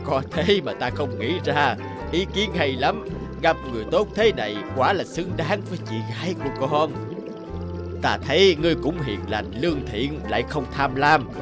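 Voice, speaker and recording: A man's voice: a little high, lively intonation, normal speed, over music, natural voice. A man voicing a benevolent old sea king in a cartoon, stately and magnanimous. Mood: gracious, stately. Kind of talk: cartoon dialogue.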